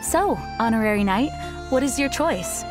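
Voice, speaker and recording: A woman playing a poised, earnest young knight leader in a video game, speaking in a formal, courteous, measured way. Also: fairly high pitch, expressive, lightly acted. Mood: formal, gracious. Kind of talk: video game character acting. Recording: music behind the voice. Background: game music.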